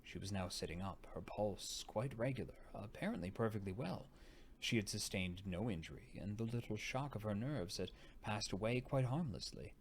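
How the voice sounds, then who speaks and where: A man narrating a gothic novel as an audiobook reader, unhurried and dramatic, sometimes sinking into breathy near-whispers. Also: fairly low pitch, lively intonation. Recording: noticeable background noise.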